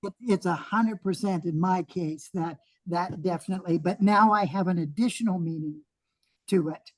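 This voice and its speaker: A woman's voice: on the low side, normal speed, no echo. A woman in an online class agreeing with the teacher and thanking her, speaking with small restarts. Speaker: class participant. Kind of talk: casual chat.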